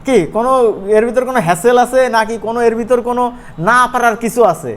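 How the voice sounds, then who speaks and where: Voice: medium pitch, fast. An animation course instructor with a regional Bangladeshi Bengali accent, teaching informally and often checking in with questions. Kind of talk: informal live software tutorial.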